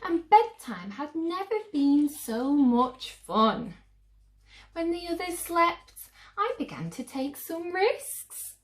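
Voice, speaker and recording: A woman reading a picture book aloud to children with theatrical, drawn-out emphasis. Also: sits high, very animated, echoey room. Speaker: children's story reader.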